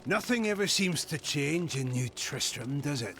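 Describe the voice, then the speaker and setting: An actor playing a wry, skeptical villager in a fantasy game, with a questioning, probing manner. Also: male voice, fairly high pitch, animated, normal speed, a bit bright, soft background music. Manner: questioning, dry. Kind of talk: fantasy game character acting.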